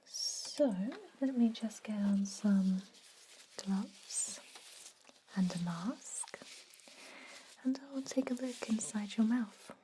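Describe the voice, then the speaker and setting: A woman playing a caring, centuries-old vampire dentist in a breathy, soothing whisper for an ASMR roleplay. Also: medium pitch, a little hiss or hum, natural voice, low-quality recording. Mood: gently professional. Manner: soothing, gently chatty, unhurried.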